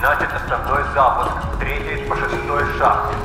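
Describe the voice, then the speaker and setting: A long echo trails a stern military announcer, played by a man, in a shooter game. He delivers forceful official launch orders at a middling pitch over loud noise. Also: little pitch movement, normal speed, close to an everyday voice. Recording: very echoey loudspeaker, loud noise.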